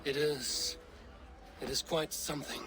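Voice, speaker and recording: A man's voice: fairly high pitch, steady tone, unhurried, natural voice. An actor playing an earnest viking warrior who asks after his father in a video game cutscene. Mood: questioning. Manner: earnest and questioning.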